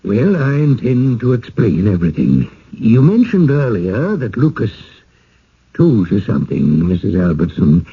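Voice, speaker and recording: An actor playing a polite, fretful, gently persuasive village host in a radio drama, with courteous old-fashioned phrasing. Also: male voice, on the low side, big pitch swings, measured pace, creaky, slightly rough, exaggerated voice. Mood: gentle, explaining. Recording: muffled, phone-like old radio recording.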